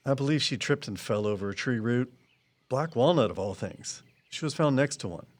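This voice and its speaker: A man acts out a guarded tree expert in an audio drama. His voice is mid-pitched and natural, with a plainspoken, practical delivery. He comes across as a loyal, careful colleague. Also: expressive, normal speed, natural voice. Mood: somber.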